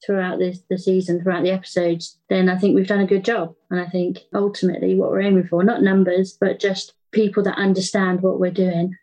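A woman's voice: lowish voice, flat delivery, a little fast, hardly any pauses, a little mellow, rough recording. A woman answering casually as an interview guest who co-writes an audio drama.

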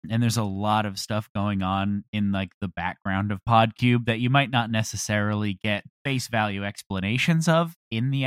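A man's voice: lowish voice, dry recording, studio quality. A man who acts and writes, talking casually in an interview from home. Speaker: voice actor and writer.

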